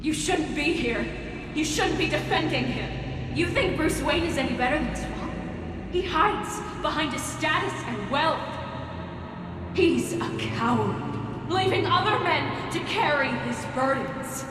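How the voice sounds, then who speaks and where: A woman's voice: sits high, expressive, unhurried, background noise. A woman playing a vengeful, unhinged female villain in a video game, theatrical, mocking and menacing. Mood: bitter, insistent. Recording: roomy, long reverb, distant, music behind.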